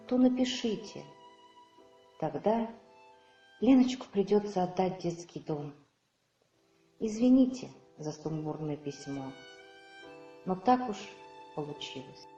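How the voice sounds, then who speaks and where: An actress in a radio play playing a sickly older woman pensioner who reads out her apologetic, pleading letter in a halting, measured way. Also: on the low side, dark tone. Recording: echoey, distant, muffled, music underneath.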